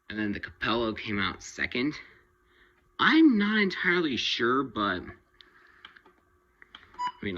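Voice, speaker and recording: A man with a lowish, very bright and forceful voice talks through a casual product review. He goes slowly and haltingly, often pausing partway through a thought. The sound is somewhat dull and lo-fi. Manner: halting, rambling delivery with pauses mid-sentence. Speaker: hobbyist video reviewer.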